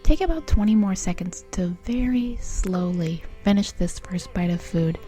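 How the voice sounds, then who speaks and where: A woman speaking gently and in a measured way as a mindfulness guide and interviewer. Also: lowish voice, soft background music. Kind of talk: guided mindful eating exercise, then soft interview.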